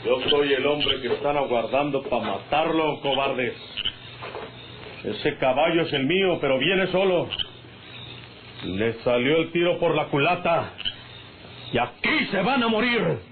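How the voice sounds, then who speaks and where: An actor playing a bold, wry cowboy avenger with folksy speech and a Mexican accent in a radio drama. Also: male voice, a little high, expressive, measured pace, pauses often. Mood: defiant. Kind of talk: old radio drama acting. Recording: tinny, noisy old radio recording.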